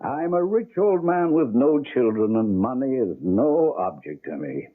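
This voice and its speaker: Courtly old landlord, wealthy, fussy, coaxing, effusive, husky, radio play, tinny narrow sound. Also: male voice, mid-range voice, big pitch swings, a little slow. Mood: coaxing, apologetic. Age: older. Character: rich, doting, courtly old gentleman landlord. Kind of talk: radio drama acting. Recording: tinny, band-limited old radio broadcast.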